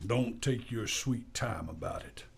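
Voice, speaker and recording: An actor playing a sullen, taunting American outlaw in a jail cell, in an Old West radio play. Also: male voice, lowish voice, unhurried, heavily acted. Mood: threatening. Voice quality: gravelly, creaky. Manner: drawling, taunting, insolent. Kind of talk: old-time western radio play acting.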